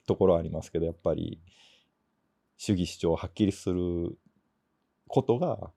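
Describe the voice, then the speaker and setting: A man in casual podcast chat, sharing reflective, measured opinions. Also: deep voice, gravelly, warm tone, husky. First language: Japanese. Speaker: podcast co-host.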